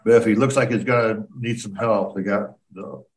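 A man's voice: lowish voice, steady tone, moderate pace. Distant-sounding man bantering over odd news. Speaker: podcast co-host.

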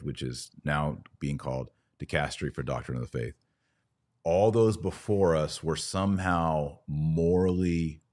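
A man's voice: very deep voice, darkish tone. A man hosting a podcast, giving conversational religious commentary with emphatic, repeated phrasing. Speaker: podcast host.

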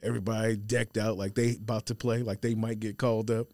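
A man's voice: on the low side, level tone, fast, darkish tone, dry recording. A man speaking casually as a panelist on a sports podcast. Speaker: podcast panelist giving football picks. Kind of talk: casual chat.

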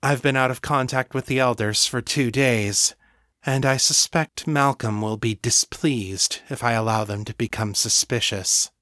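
A man's voice: mid-range voice, a little slow, a bit bright, no room sound, studio quality, natural voice. A man voicing a kind, protective mistress in an audiobook, speaking with firm compassion. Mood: patient, resolved.

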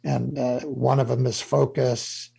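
A man's voice: medium pitch, little pitch movement, unhurried, creaky, warm tone, breathy edge. A man hosting a radio interview show, talking in a conversational way with a few restarts.

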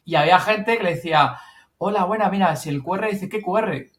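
A man with an accent from Spain telling anecdotes in casual, slangy, excitable talk, acting out bits of dialogue. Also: high-pitched, fairly quick. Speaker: host and project member.

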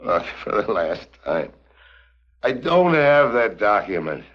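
An actor playing a tough, wisecracking American agent with a wry, slangy manner in an early 1950s TV spy drama. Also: male voice, lowish voice, sing-song, takes it slowly, exaggerated voice. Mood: exasperated. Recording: muffled vintage 1950s TV soundtrack.

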